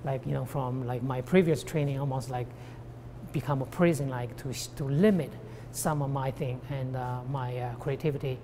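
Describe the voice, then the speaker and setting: Very deep-voiced, halting Chinese-accented woman. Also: fairly even intonation, dark tone, a little hiss or hum. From China. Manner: halting, searching for words. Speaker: artist. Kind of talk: personal documentary interview.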